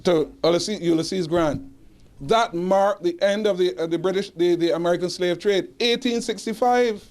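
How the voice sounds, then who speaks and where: A man with a Barbadian accent giving a history lecture to an audience, emphatic and rhetorical, pausing to search for dates and tagging points with "huh?". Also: high voice, darkish tone. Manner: rhetorical, adds "huh?" tag questions. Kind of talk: lecture answering audience questions. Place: indoor lecture hall.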